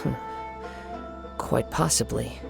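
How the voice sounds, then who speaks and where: A man voicing a calm, composed young investigator who speaks in a measured, formal and reassuring way, in a video game. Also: over music, close to an everyday voice. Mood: thoughtful.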